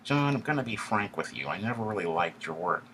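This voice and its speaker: A man's voice: fairly low pitch, animated, fairly quick, noisy background, pitched above the performer's own voice, natural voice. A man putting on a pompous nasal executive. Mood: condescending. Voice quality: twangy nasal. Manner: arrogant, condescending, uses big words and jargon. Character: pompous nasal male corporate vice president.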